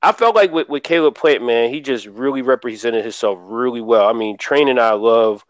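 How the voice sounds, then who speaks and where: A man's voice: medium pitch, moderate pace, no room sound. A man giving casual sports commentary as a panelist, in a colloquial way that often tags sentences with "man". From Philadelphia. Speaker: boxing show panelist. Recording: dry, slightly dull lo-fi audio.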